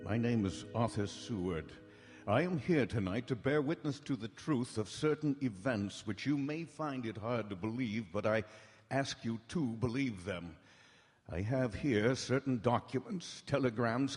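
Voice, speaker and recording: A man playing a grave, earnest doctor in 1930s radio drama style, formal and measured. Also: mid-range voice, a little mellow, husky, faint music behind, lightly acted. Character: grave, earnest male doctor presenting documented evidence. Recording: echoey town hall stage.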